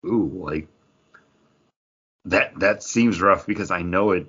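A man co-hosting a podcast in casual chat, halting and full of fillers, often acting out imagined lines as he talks. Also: deep voice, a bit bright, husky, highs cut off. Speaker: podcast co-host reviewing comic books.

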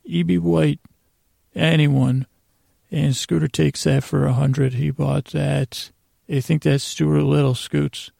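Creaky-voiced man, rambling at bedtime. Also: on the high side, level tone, dark tone, no echo. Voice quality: creaky, gravelly. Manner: meandering, halting, with pauses and trailing digressions. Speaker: host of a bedtime podcast. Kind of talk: rambling improvised bedtime monologue.

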